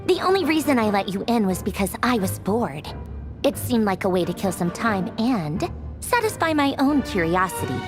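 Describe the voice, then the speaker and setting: A woman's voice: mid-range voice, very animated, normal speed, over music, lightly acted. An actress playing a haughty, sardonic young female villain officer in a video game, dismissive and theatrical, with wistfulness underneath.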